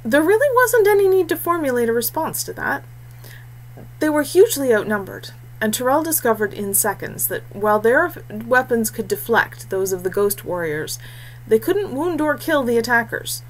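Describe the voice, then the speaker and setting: A woman's voice: a little high, lively intonation, normal speed, rough recording. A woman reading a scripted fantasy story aloud as its narrator.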